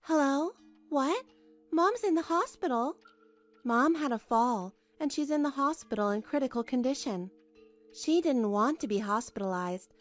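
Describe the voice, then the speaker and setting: High-voiced, long-suffering young wife played by an actress. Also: female voice, close to an everyday voice. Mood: worried. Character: put-upon young wife, anxious, earnest, long-suffering. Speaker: narrator and lead of a manga dub. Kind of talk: dramatised first-person story narration. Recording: music behind the voice.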